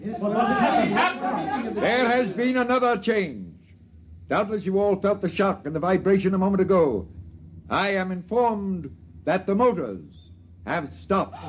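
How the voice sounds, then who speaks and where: A commanding priest in an old radio play, booming and authoritative, his voice pitched high for a man. Faint hiss sits under the proclamations, as on a vintage broadcast. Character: stern commanding male priest, middle-aged. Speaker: priest addressing the ship's people. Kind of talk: 1950s radio drama acting.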